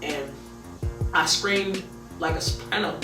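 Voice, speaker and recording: A young woman from with a deep, monotone voice tells a personal story in a relaxed, rambling documentary interview. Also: slow. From Detroit. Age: young adult. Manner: laid-back, rambling, heavy with fillers. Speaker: college basketball player. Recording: roomy echo, distant mic, music behind.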